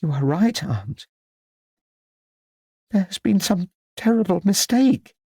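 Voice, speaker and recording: A man's voice: medium pitch, very animated, a little fast, a little mellow, dry recording, clean studio sound, pitched above the performer's own voice. A man voicing an anxious, placating niece in an audiobook story, with a trembling delivery. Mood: trembling, upset.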